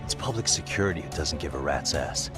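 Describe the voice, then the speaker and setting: An actor playing a cool, confident detective in a video game cutscene, wry, blunt and challenging. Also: male voice, very deep voice, animated, a little fast, music in the background. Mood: grimly determined. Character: cool, confident male private detective, dogged and sardonic.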